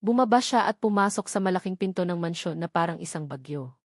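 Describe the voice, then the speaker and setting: A woman narrator reading a scripted romantic drama in a measured, melodramatic storytelling manner. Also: fairly low pitch, expressive, dry recording, clean studio sound.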